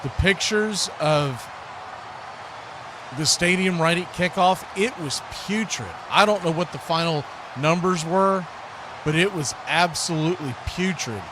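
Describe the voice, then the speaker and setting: A man's voice: a little high, unhurried. A man hosting live sports commentary in a casual, folksy way, chatting to viewers as a play-by-play announcer. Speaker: play-by-play announcer and livestream host. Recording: clearly noisy live stream with background ambience.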